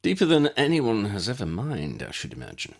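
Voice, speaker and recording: Man doing a learned polite man, measured, formal wording, slightly husky, audiobook character. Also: fairly low pitch, huge pitch swings, pitched above the performer's own voice, exaggerated voice. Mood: considering. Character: courteous, learned, unhurried man, precise and bookish.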